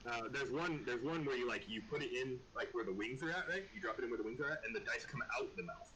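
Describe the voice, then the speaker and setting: A man chatting casually as game master of an online tabletop game, often halting and repeating words. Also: medium pitch, over a noisy crowd, rough recording.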